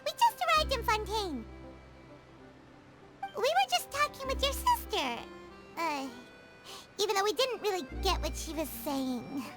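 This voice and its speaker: A woman voicing a tiny childlike fairy companion in a video game, squeaky, excitable and exclamatory. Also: unhurried, pauses often, loud music behind the voice, full character voice. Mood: puzzled. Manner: childlike, refers to self in third person, exclamatory. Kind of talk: English video game voice acting.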